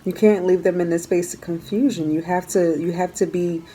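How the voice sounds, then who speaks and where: A woman giving a casual tarot reading to her viewers, talking earnestly and repeating her phrases, her voice going hoarse. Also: lowish voice, fairly even intonation, normal speed, dark tone, slight background noise. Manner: earnest, repeats phrases while coaching the listener. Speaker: tarot reader.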